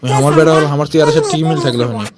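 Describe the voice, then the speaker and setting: A man's voice: fairly high pitch, very fast, noisy background, lightly acted. A man voicing an angry, blustering village father with a Bogura accent in a comic amateur cartoon dub. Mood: threatening. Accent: Bogura, northern Bangladesh. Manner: scolding, blustering, full of threats. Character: angry, threatening village father scolding wife and son.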